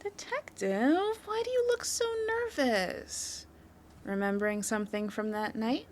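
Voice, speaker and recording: Woman acting a coy, flirty criminal suspect, swooping pitch. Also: lively intonation, measured pace, a little hiss or hum. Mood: playful teasing. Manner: coy, teasing, playful delivery with breathy drops into whispers. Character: flirty, confident female criminal suspect teasing a detective. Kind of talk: flirtatious scripted audio roleplay, addressed to the listener.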